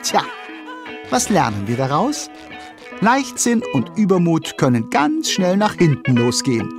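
A man narrating a children's audio drama in a playful, expressive storytelling style. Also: fairly high pitch, unhurried, music in the background.

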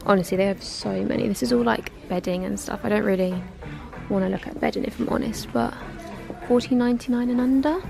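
A young woman vlogging as she browses, chatty and giggly, with a gravelly fry in her voice. She talks to a handheld camera inside a big store, with clear music playing underneath. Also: mid-range voice, fast. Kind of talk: casual shopping vlog chat. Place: large home goods store, indoors.

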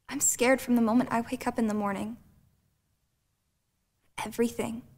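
A young woman performing a dramatic monologue as an anxious, vulnerable girl who longs for affection. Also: on the high side. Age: teens to young adult.

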